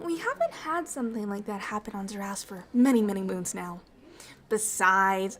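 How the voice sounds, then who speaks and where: Woman playing a bubbly, higher-pitched friendly alien ambassador. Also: lightly acted. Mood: friendly, caring. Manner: bubbly, chatty, apologetic, excitable. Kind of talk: ASMR roleplay, chatty and intimate.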